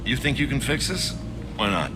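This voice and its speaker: An actor playing a gruff, dismissive male quarantine guard in a video game. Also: medium pitch, very animated, normal speed, close to an everyday voice. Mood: weary, skeptical. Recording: ambient hum behind the voice.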